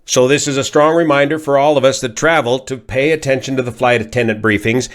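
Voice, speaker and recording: An American man, a pilot from California, giving an informal analysis in a measured, methodical, technical way. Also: medium pitch, steady tone. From California, United States. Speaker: pilot and aviation commentator. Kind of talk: informal explanatory accident analysis.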